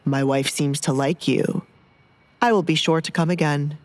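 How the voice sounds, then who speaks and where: A woman's voice: low voice, fairly even intonation, normal speed, lightly acted. A woman voicing a menacing, smug male nobleman who teases and mocks in an audio drama. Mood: smug teasing.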